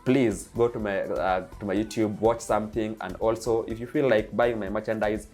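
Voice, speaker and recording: Kenyan man talking eagerly, low voice. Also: fairly even intonation, normal speed, a little mellow, soft background music. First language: Kikuyu. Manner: eager, repetitive lists, frequent uh fillers. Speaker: DJ and channel host. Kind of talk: casual vlog monologue.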